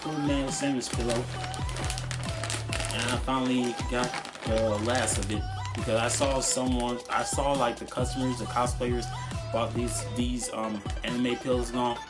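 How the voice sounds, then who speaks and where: Young man, medium pitch, casual vlogger, halting and repetitive delivery, unscripted, music in the background. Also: level tone. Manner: halting, repetitive, stumbling over words. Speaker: vlogger showing convention purchases. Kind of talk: casual unscripted vlog chat. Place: small cluttered room at home, indoors.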